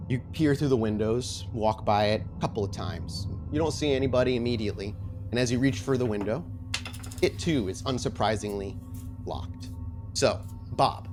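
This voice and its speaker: Man narrating a tabletop game over music. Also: medium pitch. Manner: descriptive, conversational, cinematic scene-setting. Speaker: game master.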